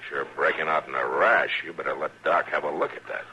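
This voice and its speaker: A man's voice: very deep voice, brisk, pushed and bright, exaggerated voice. An actor playing a wry, exasperated American frontier lawman in an old radio western, dry and commanding. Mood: concerned. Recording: muffled, hissy old radio recording.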